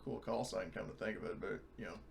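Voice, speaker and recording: A man's voice: lowish voice, quick pace, gravelly. A man chatting casually as a player in a tabletop role-playing game, acting as a pilot. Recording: noisy mic with clicks.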